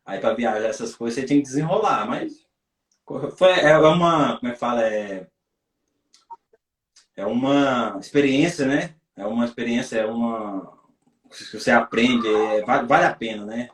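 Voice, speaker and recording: A man's voice: mid-range voice, normal speed, distant mic. A Brazilian footballer chats loosely in an interview, circling back and restarting his sentences. His voice sounds roomy and echoing. He sits well back from the mic, as on a video call. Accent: Brazilian Portuguese. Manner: rambling, repeats himself, many restarts. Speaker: footballer guest. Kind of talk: casual interview over a live stream.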